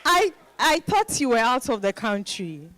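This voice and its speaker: A woman's voice: on the high side, sing-song, a little slow, a bit bright, dry recording. An actress with a Ghanaian accent playing a proud, independent professional woman in a dramatized reading, emphatic and exclaiming. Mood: excited, sociable.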